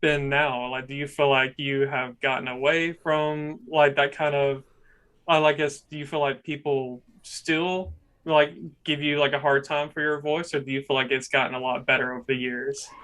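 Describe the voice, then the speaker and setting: A young male podcast host with a monotone, mid-pitched voice. He rambles casually and leans on fillers as he asks his guest questions. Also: moderate pace, clear, resonant tone. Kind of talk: casual conversational interview.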